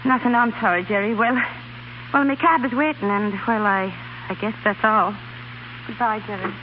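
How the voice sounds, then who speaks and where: A woman's voice: mid-range voice, normal speed, lightly acted. An actress playing an emotional, frank young woman in a 1930s radio drama. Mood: subdued, sorrowful. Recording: noisy, dull 1930s radio broadcast.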